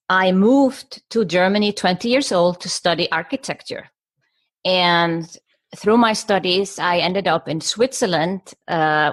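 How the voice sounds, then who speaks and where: A middle-aged woman from Iceland speaks as a podcast guest in a fairly low voice. She builds her points in short stacked phrases, with the odd filler and restart. The sound is close and dry. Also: no room sound. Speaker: guest, business coach. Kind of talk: podcast interview.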